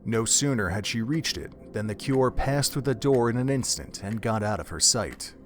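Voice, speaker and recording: A man's voice: mid-range voice, lively intonation, normal speed. A man reading scripted narration for an audio drama in a solemn, literary storytelling manner.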